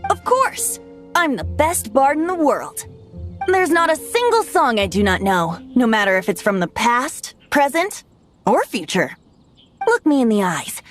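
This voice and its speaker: Young boy bard for a game, high voice, super animated, cheeky show-off, theatrical. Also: unhurried, faint music behind. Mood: boastful, earnest. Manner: theatrical, teasing, flowery speech. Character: playful, boastful young male bard, secretly a god. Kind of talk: video game voice acting.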